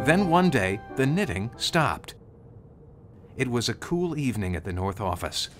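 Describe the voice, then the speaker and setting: A man's voice: medium pitch, fairly bright tone. A man narrating a scripted storybook tale in a dramatic storytelling style. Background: music under the narration.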